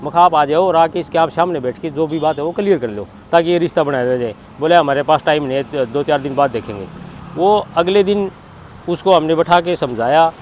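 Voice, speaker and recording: A man's voice: a little high, fairly quick, a little mellow, slight background noise. A middle-aged man with a Haryanvi accent recounting events in a plain-spoken, spontaneous testimony, often quoting what others said. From Haryana, India. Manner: plain-spoken, quotes reported dialogue as he narrates. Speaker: bereaved father giving a statement.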